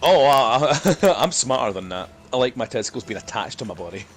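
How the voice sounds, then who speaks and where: Male co-host, medium pitch, loose joking banter over a game, noisy recording. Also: expressive, brisk. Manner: joking, sweary, laughs often. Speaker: podcast co-host. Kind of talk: casual banter while gaming.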